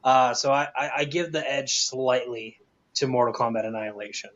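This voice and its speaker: A man's voice: medium pitch, moderate pace, fairly bright tone, dull audio. A man giving his opinions in casual, informal talk as a panelist. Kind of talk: casual movie opinion chat.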